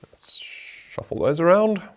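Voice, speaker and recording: A man's voice: high voice, lively intonation, unhurried. A man hosting a board game show, talking through the rules and each move of a solo playthrough in a chatty way.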